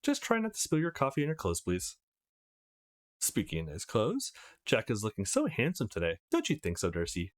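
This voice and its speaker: A man voicing a kindly, motherly older woman in a visual novel, gently fussing and reassuring. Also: medium pitch, huge pitch swings, normal speed, dry recording, studio quality. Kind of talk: visual novel character reading.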